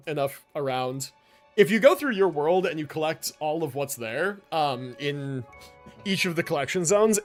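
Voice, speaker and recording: Animated man livestreaming over faint game music. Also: a little high. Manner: laid-back slangy enthusiasm, swears casually. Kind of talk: casual livestream commentary. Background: video game music and character voices.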